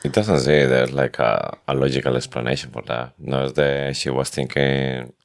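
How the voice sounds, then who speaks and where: Very deep-pitched woman podcast co-host. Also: flat delivery, normal speed. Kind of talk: casual book discussion podcast.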